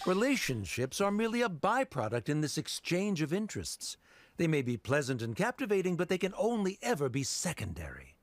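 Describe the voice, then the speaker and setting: A man's voice: on the high side, wildly swooping pitch, a little fast, dry recording. A man playing a pompous, coldly logical middle-aged scholar in an anime game, speaking in formal, pedantic speeches.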